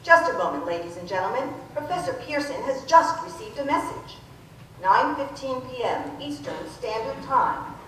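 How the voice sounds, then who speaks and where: Earnest female radio reporter played by a woman, high voice, formal announcing, old radio drama, distant echoey recording. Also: fairly bright tone. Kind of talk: old-time radio news drama acting. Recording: echoey hall from far off, noisy.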